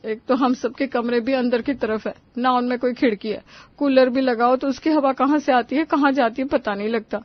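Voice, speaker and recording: A woman's voice: high voice, fairly even intonation, normal speed, no room sound, lo-fi sound, natural voice. A woman voicing a frustrated, outspoken young daughter-in-law who argues and complains in a Hindi cartoon story. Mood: weary, grumbling. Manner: complaining, argumentative.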